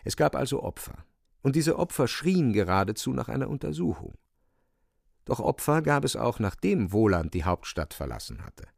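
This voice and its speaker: An actor narrating a satirical story for a radio play in an ironic, theatrical, emphatic manner. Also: male voice, mid-range voice, big pitch swings, moderate pace, vocal fry, no echo, studio quality. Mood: wry, ironic. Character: wry, theatrical male storyteller of satirical novel.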